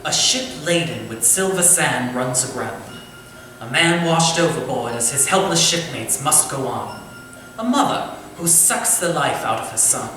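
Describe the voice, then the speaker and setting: A courteous Victorian gentleman of letters, played by a man, speaks with a widely moving, theatrical voice and genteel formality. The stage-play delivery is heard from far off in a very echoey hall with faint noise. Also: mid-range voice, animated, measured pace. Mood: brooding, intense. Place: indoor theatre space, staged reading.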